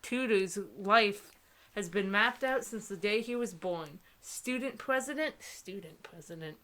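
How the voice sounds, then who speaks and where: A woman's voice: mid-range voice, unhurried, pushed and bright. A young woman co-hosting a casual, mocking read-aloud, sarcastic and giggly, tripping over words as she goes. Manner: sarcastic, giggly, often stumbles while reading. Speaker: co-host.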